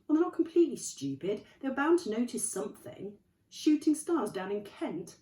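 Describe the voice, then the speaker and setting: Stern, clipped older witch teacher, put on by a woman reading aloud, lifted high and lively, roomy recording. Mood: impatient. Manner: brisk, clipped and impatient. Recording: echoey room, distant mic.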